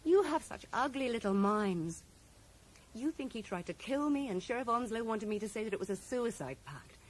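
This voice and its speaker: A woman's voice: a little high, expressive, moderate pace, lightly acted. An actress playing a grieving, guarded and bitter woman in a radio drama, wistful yet curt with her questioner. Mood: bitter grief. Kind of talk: 1980s radio drama acting. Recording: noisy 1980s radio broadcast recording.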